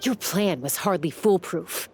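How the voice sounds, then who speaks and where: A woman playing a cool-headed, stern young heroine in a video game, speaking in a deliberate, firm, analytical way. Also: fairly low pitch, darkish tone, faint music behind. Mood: defiant. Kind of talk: dramatic video game voice acting.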